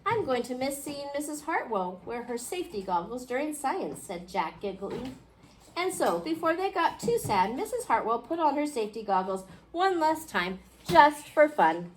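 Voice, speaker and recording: A woman reading a picture book aloud to a class, expressively, pausing to question her young listeners. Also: high voice, very animated. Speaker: children's storybook reader. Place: indoor school classroom. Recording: clearly noisy classroom recording.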